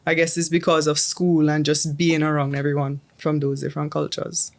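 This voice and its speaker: A woman's voice: deep voice, little pitch movement, moderate pace. A young adult woman from the Caribbean, chatting as a guest in an interview, often restarting her sentences with um. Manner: chatty, restarts with um and repeated words. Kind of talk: casual interview.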